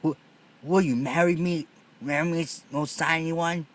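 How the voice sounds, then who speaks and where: Man as nerdy storybook boy, fairly high, smitten, grandiose, pleading. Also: steady tone, moderate pace, close to an everyday voice. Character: odd, smitten boy, nerdy and over-devoted.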